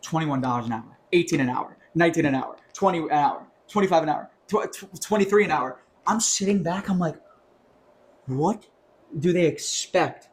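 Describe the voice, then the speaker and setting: Ranting young man, higher voice. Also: normal speed. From New York. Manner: animated, ranting, swears often. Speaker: podcast co-host. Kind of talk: casual podcast chat.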